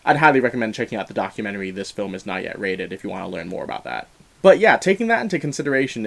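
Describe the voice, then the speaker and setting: A man's voice: fairly low pitch, creaky. A man giving an opinionated movie review as host, talking in a casual, explanatory way with emphatic stresses.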